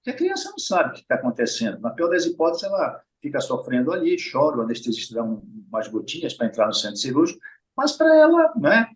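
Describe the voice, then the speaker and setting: A man's voice: on the high side, wildly swooping pitch, quick pace. Middle-aged Brazilian man, patient doctor. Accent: Brazilian Portuguese. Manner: explains patiently, often adds tag questions and addresses listeners directly. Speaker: heart surgeon guest. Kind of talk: explanatory conversational interview talk.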